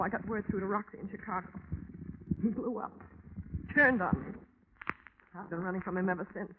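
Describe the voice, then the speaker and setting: A woman's voice: mid-range voice, phone-quality sound, lightly acted. An American actress playing a gangster's desperate ex-girlfriend on the run in an old radio melodrama. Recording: thin, noisy old radio recording.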